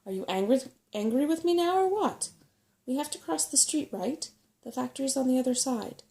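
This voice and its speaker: A woman voicing a crabby, sharp-tongued female brownie from a storybook, complaining and scornful. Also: sits high, lively intonation, normal speed, creaky, pitched above the performer's own voice. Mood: irritated, urgent. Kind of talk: storybook character voice in a soft-spoken reading.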